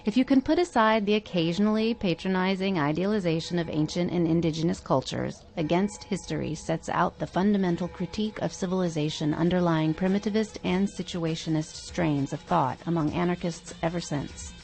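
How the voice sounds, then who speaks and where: A woman's voice: fairly low pitch, brisk, gravelly, few pauses, a little mellow, soft background music, rough recording. A woman podcast host reading a scripted book review in the style of prepared narration.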